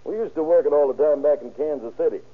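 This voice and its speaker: Guy playing smug crooked insurance man plotting a scam, husky voice, old crackly radio drama sound. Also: a little high, fast talker, lightly acted. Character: scheming, self-assured male insurance swindler, crooked adjuster. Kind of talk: 1930s-40s radio crime drama acting. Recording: muffled, scratchy old-time radio recording.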